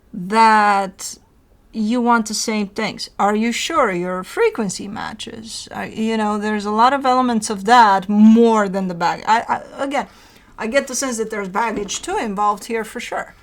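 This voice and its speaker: A woman hosting a tarot card reading, talking in an informal, rambling and emphatic way, repeating phrases and putting rhetorical questions to the listener. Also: mid-range voice. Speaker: tarot reader hosting her own show.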